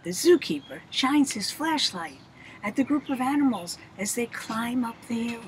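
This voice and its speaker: An older woman telling a children's story in an expressive, deliberate, dramatic way. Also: fairly high pitch, poor audio. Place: shady outdoor bench. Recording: faint outdoor background noise.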